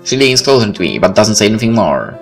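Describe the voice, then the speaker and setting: Animated man. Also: on the low side, fast, creaky. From Poland. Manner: expressive, blunt, swearing. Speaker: let's play host. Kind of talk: reading visual novel text aloud with off-the-cuff reactions. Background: game music.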